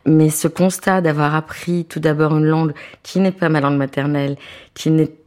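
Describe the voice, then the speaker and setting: A woman in her fifties, a translator and author, talking in a relaxed, thoughtful way with many small restarts, seated at home. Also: deep voice, normal speed. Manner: hesitant, thoughtful, repeats words when starting. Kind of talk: relaxed conversational interview. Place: apartment living room, seated on a sofa.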